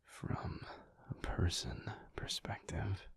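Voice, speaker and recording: A man playing a weary, troubled alpha werewolf pack leader who confides in a hushed, halting, intimate audio roleplay monologue. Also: natural voice. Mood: troubled, pensive. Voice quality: hushed, breathy whisper. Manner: slow, halting, pensive.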